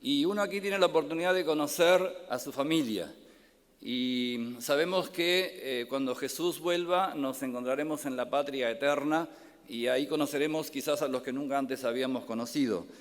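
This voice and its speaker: A man from Córdoba, Argentina, with a Córdoba accent, preaching a sermon as a pastor in a gentle way, pausing often on "eh". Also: mid-range voice. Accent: Argentine Spanish, Córdoba. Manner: gentle, warm-hearted, many filler "eh" pauses. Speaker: pastor and Bible professor. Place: large church hall. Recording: long hall reverb.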